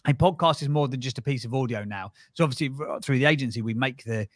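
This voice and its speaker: A quick, energetic man in his thirties talks through his advice in a blunt, animated way. His voice sits close and dry on a studio mic in a small foam-lined room. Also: medium pitch, no room sound. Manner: animated, direct, advice-giving. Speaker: podcast agency founder, guest. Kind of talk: casual interview chat. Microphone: studio mic on arm, headphones.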